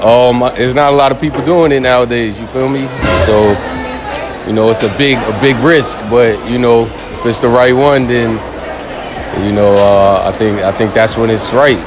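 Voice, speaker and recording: Young male interviewee, average pitch, easygoing filler-heavy talk, music bed. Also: fairly even intonation, normal speed, crowd noise. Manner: laid-back, full of "you know". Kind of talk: casual answers. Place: indoor shopping mall. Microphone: interviewer's handheld phone.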